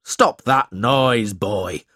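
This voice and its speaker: A man voicing a cruel, boastful male schoolmaster in an audiobook story, speaking in a loud, blustering, bullying way. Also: medium pitch, huge pitch swings, slow pace, dry recording, clean studio sound, exaggerated voice. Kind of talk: audiobook character reading.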